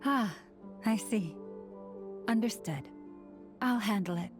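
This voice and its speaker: A woman as unhurried, gracious priestess. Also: mid-range voice, over music, lightly acted. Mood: polite, gracious. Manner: formal, courteous, composed. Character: gracious, dutiful young priestess leader, composed and formal. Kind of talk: video game character acting.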